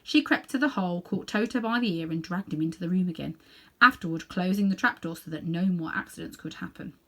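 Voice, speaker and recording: A woman reading a children's story aloud in an animated way, with dramatic pauses and hushed little asides. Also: lowish voice. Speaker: storybook reader.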